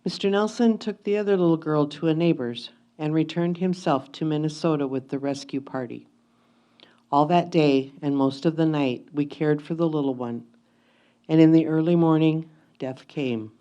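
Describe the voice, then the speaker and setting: A woman giving a solemn, measured dramatic reading of a first-person historical memoir. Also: low-pitched, dark tone. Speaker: reader of historical letters in reader's theatre.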